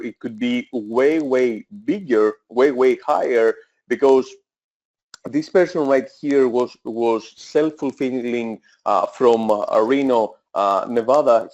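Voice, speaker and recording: A man's voice: medium pitch, a little mellow, dull audio. A man in his thirties with a Greek accent, presenting a sales webinar in an eager, persuasive way, with many fillers and self-corrections. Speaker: webinar presenter, e-commerce coach.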